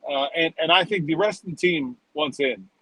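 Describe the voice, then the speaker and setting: Male sports podcaster with a higher-pitched voice. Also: normal speed, sharp tone, breathy edge, no room sound. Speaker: sports reporter and podcast co-host. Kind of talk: casual sports podcast chat.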